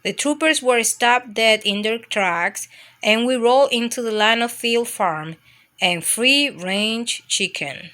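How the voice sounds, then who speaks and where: Woman reading as a bright-voiced mischievous storybook dog. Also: a little high, takes it slowly. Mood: cheeky, excited. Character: mischievous, adventure-hungry dog telling its own escapade. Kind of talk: storybook first-person narration.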